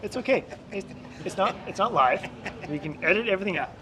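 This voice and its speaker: A man's voice: high voice, lively intonation, quick pace, a crowd in the background. A man hosting a casual podcast outdoors on campus steps, upbeat and joking as he asks his guests questions. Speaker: podcast host.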